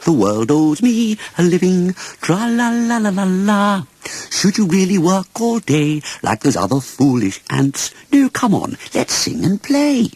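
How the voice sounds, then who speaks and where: High, singsong carefree grasshopper. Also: male voice, sing-song, measured pace, darkish tone, dry recording, soft background music, heavily acted. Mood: jaunty, coaxing. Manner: singsong, jaunty, teasing. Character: carefree, cocky male grasshopper, singing idler.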